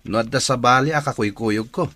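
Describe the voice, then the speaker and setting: An actor playing a callous, dismissive young boyfriend in a radio drama, speaking brusquely with a Filipino accent. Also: male voice, medium pitch, fairly quick, sharp tone, no echo, natural voice. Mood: scornful.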